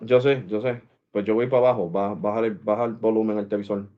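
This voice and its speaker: A man's voice: fairly low pitch, fairly even intonation, very fast, highs cut off. A man in his forties hosting a live stream, chatting casually and rambling, saying "bro" and "guys" often. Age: 40s. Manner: switches to Spanish with family.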